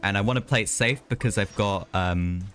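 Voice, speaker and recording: A man's voice: on the low side, brisk, a bit bright, slight background noise. A man live streaming a video game, chatting casually in a rambling way with hesitant asides.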